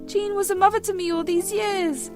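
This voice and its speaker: A shrill, accusing teenage girl, as a woman narrator puts her on, her very high voice straining with hurt and anger. She hurls her words loudly. Soft music plays behind the storybook reading. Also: moderate pace. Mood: furious.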